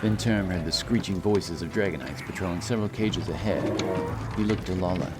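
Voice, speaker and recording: A man with a very low voice narrates a fantasy tale. His delivery is solemn and measured, with music clearly playing underneath. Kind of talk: scripted fantasy audiobook narration. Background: music and rain sound effects.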